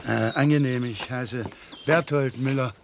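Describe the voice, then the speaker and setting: An actor playing an indignant, suspicious homeowner on an alien planet in a 1970s German radio play, blustering and pedantic. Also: male voice, medium pitch, fairly even intonation, a little slow, vocal fry, noisy background. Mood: puzzled, correcting.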